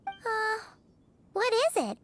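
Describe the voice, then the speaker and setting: A woman voicing a tiny, chatty, childlike fairy girl companion in an anime game, with a squeaky, bubbly delivery. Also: very animated, takes it slowly, noisy background, exaggerated voice. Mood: curious, thoughtful. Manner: bubbly, chattering, refers to self by name. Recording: music behind the voice. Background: background music.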